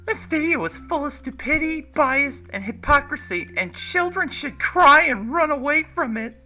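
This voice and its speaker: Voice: high-pitched, expressive, moderate pace, pushed and bright, faint music behind. A person giving a dramatic, mocking reading of online comments with exaggerated delivery.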